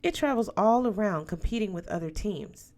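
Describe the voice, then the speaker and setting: A woman reading the part of an eager, encouraging male school recruiter in a story, with a polite, enthusiastic pitch. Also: lively intonation, moderate pace, a little mellow, natural voice. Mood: upbeat, encouraging.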